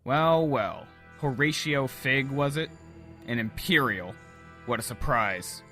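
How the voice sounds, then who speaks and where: A man playing a hostile, sneering smuggler henchman in a comic dub, with a taunting, gruff delivery. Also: medium pitch, lively intonation, slow, bright, forceful tone, soft background music. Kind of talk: scripted comic dub acting.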